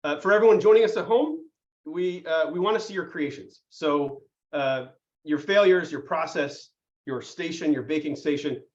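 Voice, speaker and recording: A man's voice: on the high side, moderate pace, highs cut off. A man hosting a live online baking class over a video call, chatty and upbeat, with lots of fillers and puns. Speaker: host.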